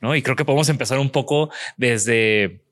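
A man's voice: medium pitch, normal speed, a bit bright, no echo. Man hosting, dry close sound. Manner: rambling, with restarts and frequent "¿no?" tags. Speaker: podcast host. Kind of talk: conversational interview.

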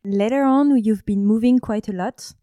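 A woman's voice: a little high, moderate pace, warm tone, no room sound, studio quality. A woman interviewing a guest in a casual conversation, asking questions and giving short acknowledgments.

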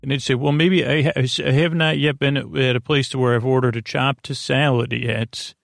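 A man hosting a sleep podcast, talking in a meandering, halting, whimsical way with a creaky voice. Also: mid-range voice, fairly even intonation, dry recording, studio quality. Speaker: podcast host. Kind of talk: rambling bedtime sleep podcast monologue.

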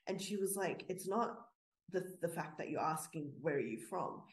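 A woman's voice: lowish voice, lively intonation, moderate pace. A woman co-hosting a casual podcast, recounting things she has seen in a chatty way, with plenty of "like" and "you know". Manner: chatty storytelling with fillers. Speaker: podcast co-host. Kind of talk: casual discussion.

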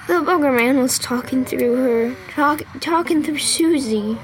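A woman's voice: sits high, measured pace, a little hiss or hum, rough recording. A woman voicing an earnest, imaginative little boy with a Southern US accent, telling things in a childlike, folksy way for a radio drama. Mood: spooked, excited. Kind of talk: old-time radio drama acting.

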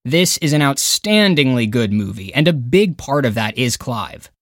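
A man's voice: mid-range voice, very animated, moderate pace, a bit bright, dry recording, clean studio sound. A man reading a scripted review countdown as a podcast narrator and critic, with an emphatic, opinionated, dramatic way of phrasing.

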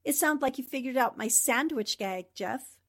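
A woman playing a quick-witted, plucky newspaper reporter in a 1940s radio drama style. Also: sits high, a bit bright. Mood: explaining, amused.

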